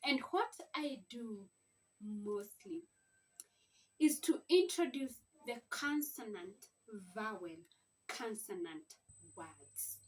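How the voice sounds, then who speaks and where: Female instructor for home-learning video: high voice, unhurried explanatory delivery, rhetorical questions, recorded a little away from the mic. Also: expressive. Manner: deliberate, sounds out letters and words, asks rhetorical questions. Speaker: teacher giving a home reading lesson. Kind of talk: informal instructional explanation to parents. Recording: slightly distant microphone.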